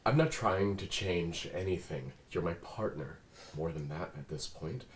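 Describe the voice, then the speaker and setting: A man reading the part of a calm, formal, earnest android detective in a drama script. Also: low-pitched, measured pace. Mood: firm, caring. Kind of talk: table read of a drama script. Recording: roomy, faint noise.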